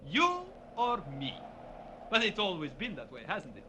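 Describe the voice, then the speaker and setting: An actor playing a half-crazed, delicate ballet dancer turned killer in a theatrical, menacing old radio crime drama. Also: male voice, sits high, huge pitch swings, moderate pace, bright, forceful tone, exaggerated voice. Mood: bitter. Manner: theatrical, menacing, bitterly taunting. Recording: old radio recording, faint hiss.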